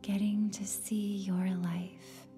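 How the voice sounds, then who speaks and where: A woman with a soft, breathy, near-whispered voice guides a meditation in a soothing, gentle way, leaving long pauses between phrases. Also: medium pitch, level tone. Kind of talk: guided meditation, scripted narration. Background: meditation music with singing bowl tones.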